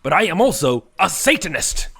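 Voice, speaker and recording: A man playing an earnest young wizard student in a cartoon, husky and melodramatic. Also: on the high side, very animated, normal speed, pushed and bright, full character voice. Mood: earnest, defiant.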